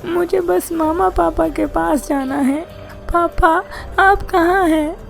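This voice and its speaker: A woman's voice: very high-pitched, steady tone, slow pace, creaky, soft background music, exaggerated voice. A woman voicing a young boy in a cartoon story who runs away and then gets scared and stammers. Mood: frightened.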